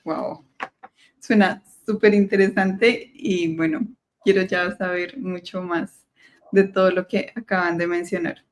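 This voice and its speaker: A woman's voice: fairly low pitch, fairly even intonation, measured pace. A woman hosting a podcast, opening the show and asking friendly questions. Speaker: podcast host.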